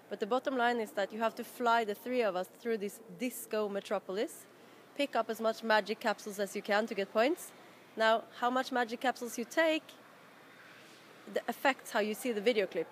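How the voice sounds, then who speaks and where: A woman's voice: a little high, a little fast. A woman from Norway, a band member, chatting loosely in an interview and laughing often. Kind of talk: casual interview chat. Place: busy festival venue. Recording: background noise.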